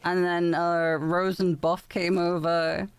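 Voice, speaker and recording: A woman's voice: lowish voice, level tone, slow pace, clear, resonant tone. A woman telling a story casually, hesitant with fillers.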